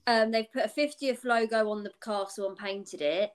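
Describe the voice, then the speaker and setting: A woman's voice: medium pitch, fairly even intonation, normal speed. A chatty British woman in her twenties, with a mid-range voice, rambles through her point full of likes and ums. Her talk is casual and easygoing. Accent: British English. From United Kingdom. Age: 20s. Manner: chatty, rambling, many fillers. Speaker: podcast co-host. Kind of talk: casual chat.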